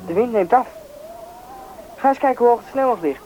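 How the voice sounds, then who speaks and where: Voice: medium pitch, lively intonation, a little fast, mellow, soft background music, close to an everyday voice. An actor playing a frightened young boy lost in a snowstorm, in a Dutch radio drama. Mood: weary, worried. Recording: noisy radio play with storm ambience.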